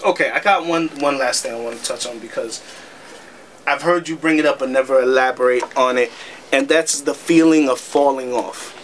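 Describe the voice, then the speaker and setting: A man asking questions in a casual interview, in a relaxed, probing way. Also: mid-range voice, normal speed, a little hiss or hum.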